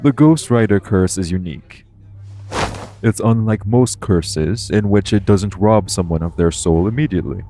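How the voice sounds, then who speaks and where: A calm guardian angel, acted by a man for an audio roleplay, speaks in a very low, mellow voice. The delivery is formal and measured, explaining things earnestly and protectively to the listener. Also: soft background music, lightly acted. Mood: solemn, explaining.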